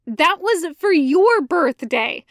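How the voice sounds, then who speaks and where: A woman voicing a skeptical, sharp-tongued teenage girl in an audio story, pointed and incredulous. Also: very high voice, very animated, takes it slowly, no echo, studio quality, pitched above the performer's own voice, full character voice. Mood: hesitant, dawning horror.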